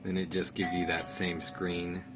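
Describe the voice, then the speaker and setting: A man's voice: low-pitched, completely flat delivery, measured pace, over loud music. A man giving a casual, matter-of-fact, step-by-step tutorial as a product reviewer. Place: indoors in a room. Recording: clear background noise, room ambience.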